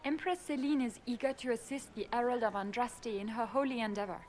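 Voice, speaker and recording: Lady as a gushy lady-in-waiting in a fantasy game, really high voice, eager n formal. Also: fairly even intonation, a little fast, a little mellow, lightly acted. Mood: eager, effusive. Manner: formal, effusive.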